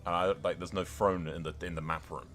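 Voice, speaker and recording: A man's voice: sits low, fairly even intonation, fairly quick, a bit bright, soft background music. A man giving casual, sweary game commentary, slipping into mock-grandiose role-play narration. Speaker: gaming video host.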